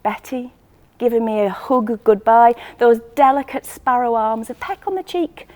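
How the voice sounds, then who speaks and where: A lonely, self-mocking woman played by an actress tells her own story in a dramatic monologue. Her voice sits fairly high, and she speaks intimately and expressively in short, clipped phrases. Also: normal speed, darkish tone, lightly acted. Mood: lonely, weary. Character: lonely woman choir member living alone, wistful, self-mocking. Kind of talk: first-person dramatic monologue.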